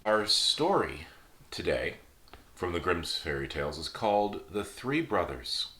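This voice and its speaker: A man with a lowish voice reads a fairy tale aloud, relaxed and chatty, letting his pitch rise and fall with the story. The room around him rings with noticeable echo. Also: measured pace. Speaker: storyteller host. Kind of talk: casual storytelling reading.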